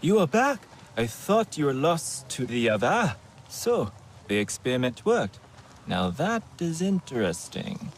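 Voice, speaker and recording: Excitable, swooping male alien healer. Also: medium pitch, a little hiss or hum. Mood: excited surprise. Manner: theatrical, halting phrasing. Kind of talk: video game acting.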